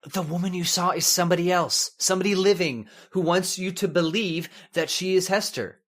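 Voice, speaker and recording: A woman's voice: lowish voice, fairly quick, close to an everyday voice. A woman playing a worried, agitated husband in an old-time radio horror play, urgent and earnest with stammers. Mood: insistent.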